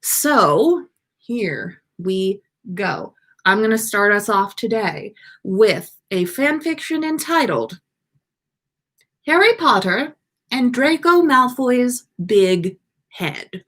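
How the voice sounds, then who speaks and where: A woman's voice: a little high, very animated, slow pace, a bit bright. A woman hosting a livestream in a chatty, playful, theatrical way as she reads stories aloud. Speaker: podcast host reading fan fiction aloud. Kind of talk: chatty livestream and animated story reading.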